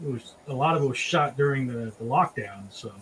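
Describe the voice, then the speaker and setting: A man hosting a relaxed, casual group chat about pop culture. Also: medium pitch, fairly quick, noisy background. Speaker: host of a drink and draw show.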